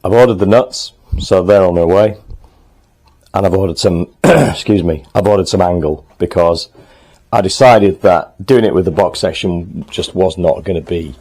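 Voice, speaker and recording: Low-voiced man chatting in his workshop. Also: moderate pace, darkish tone. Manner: chatty, thinks aloud while working, frequent ums. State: slightly hoarse, clearing throat. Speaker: motorcycle restorer and channel host. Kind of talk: casual workshop talk to camera. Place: home workshop in the countryside.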